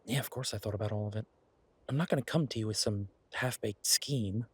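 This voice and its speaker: A man playing an earnest, playful boyfriend in a naturalistic one-sided audio roleplay, talking in a halting, wry, conversational way. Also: lowish voice, fairly bright tone, breathy edge, dry recording. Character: earnest, playful werewolf boyfriend pitching a farm venture.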